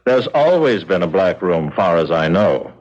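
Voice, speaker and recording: An actor in a 1970s radio drama playing the calm, detached boss of a grim prison, unhurried and coolly understated. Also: male voice, low voice. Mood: cool, resigned. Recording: dull, muffled old radio recording.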